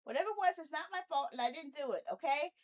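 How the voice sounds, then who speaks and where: A woman chatting casually on a live game stream, playful and animated, often muttering to herself. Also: sits high, fast.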